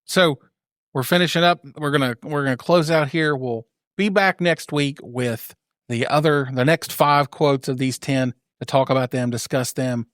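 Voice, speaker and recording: Steady man hosting a podcast outro. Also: mid-range voice, normal speed, no echo, clean studio sound. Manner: steady, affirming host who keeps the show moving. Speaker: podcast host. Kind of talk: casual podcast chat with a scripted outro.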